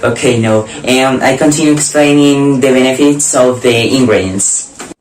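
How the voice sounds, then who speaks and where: A man's voice: medium pitch, unhurried, noisy background. A man with a Colombian Spanish accent, hesitantly reading a cooking segment as presenter, with fillers.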